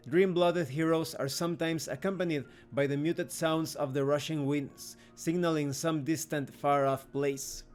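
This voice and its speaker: Guy doing scripted review narration, voice a bit on the high side, faint music behind. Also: steady tone, a little slow, few pauses. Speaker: video host, game reviewer.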